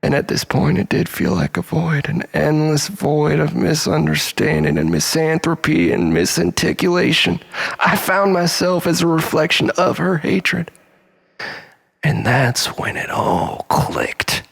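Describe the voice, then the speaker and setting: A man playing an obsessive, bitter, unhinged jilted lover in a dramatic monologue, volatile and halting, moving between breathy whispers and outbursts. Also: fairly high pitch, expressive, full character voice. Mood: brooding, anguished, then seething, vengeful. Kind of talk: dramatic first-person monologue.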